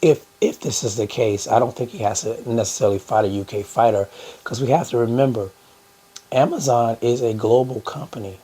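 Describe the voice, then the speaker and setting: A hoarse man hosting a boxing news channel, talking casually to his viewers with lots of fillers. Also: mid-range voice, fairly quick, dark tone. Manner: conversational, many "uh" fillers, addresses "guys". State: hoarse from a cold. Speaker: boxing YouTube host.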